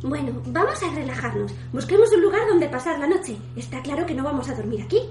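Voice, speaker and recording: Girl doing a sensible adventurer in a radio play, really high lively voice, echoey room. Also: brisk. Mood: calming, practical. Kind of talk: fantasy radio play acting. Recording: echoey room with faint music behind.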